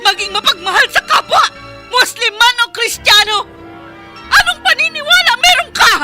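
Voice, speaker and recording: An actress playing a young woman shouting in tearful indignation as she defends her faith. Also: extremely high pitch, animated, moderate pace, over music. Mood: indignant, tearful. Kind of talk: radio drama acting.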